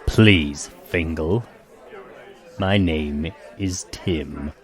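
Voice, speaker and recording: An actor playing a scheming client in an audio drama, formal and measured, with an insinuating delivery. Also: male voice, rumbling low, exaggerated voice. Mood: pained. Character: smooth, scheming male client with veiled offers. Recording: crowd murmur behind.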